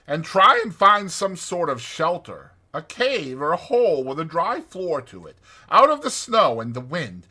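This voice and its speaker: A man voicing a brisk, bossy, resourceful rat in an emphatic, exclaiming way for a dramatized audiobook. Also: a little high, pushed and bright, voice pushed up, exaggerated voice.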